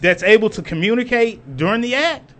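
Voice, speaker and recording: Reflective man with a high voice. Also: expressive, pushed and bright. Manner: measured, reflective explaining. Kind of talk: casual group chat.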